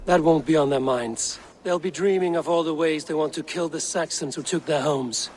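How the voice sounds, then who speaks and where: A man's voice: a little high, normal speed, a little mellow, slight background noise, natural voice. A man playing a steadfast, grim Viking warrior in a video game, speaking tersely and firmly. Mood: grim, loyal. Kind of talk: dramatic video game acting.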